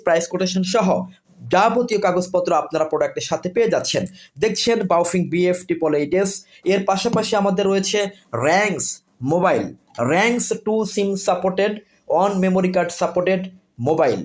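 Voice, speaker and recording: A man with a Bangladeshi accent giving an informal product sales pitch, repetitive and insistent, running through points in lists. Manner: repetitive, insistent, with list-like phrasing. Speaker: product seller.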